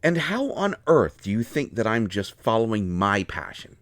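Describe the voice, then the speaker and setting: A man playing an indignant, hurt healer mage in a fantasy roleplay audio, expressive, sarcastic and exasperated. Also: on the low side, moderate pace, dry recording. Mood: jealous, bitter. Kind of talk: dramatic roleplay audio acting.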